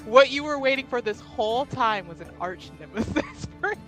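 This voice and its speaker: A woman's voice: fairly high pitch, expressive, moderate pace, music in the background. A woman in casual chat as a tabletop roleplayer, reacting with amused, slangy expressiveness. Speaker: player in a tabletop roleplaying show.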